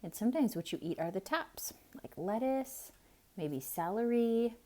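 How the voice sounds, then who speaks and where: A woman reading a picture book aloud to children in an expressive style, pausing to ask the listener questions. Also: a little high. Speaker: children's storyteller. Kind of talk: theatrical read-aloud for kids.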